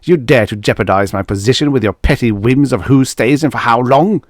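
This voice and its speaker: A man's voice: medium pitch, fairly quick, dry recording, studio quality, voice pushed up, lightly acted. A man voicing a domineering, pompous diplomat husband in a story, with a contemptuous, commanding, scolding manner. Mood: furious.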